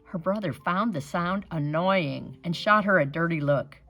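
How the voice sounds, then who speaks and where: A woman reading a picture book aloud in an expressive, dramatic storytelling manner. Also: on the low side, unhurried, soft background music.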